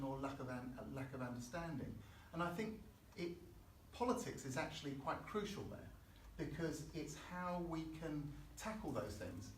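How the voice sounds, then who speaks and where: Hesitant man in an echoey hall. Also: mid-range voice. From Chile. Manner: thoughtful, hesitant, frequent restarts. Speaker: election candidate. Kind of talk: unscripted panel speech. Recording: echoey hall, mic far off. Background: faint room noise.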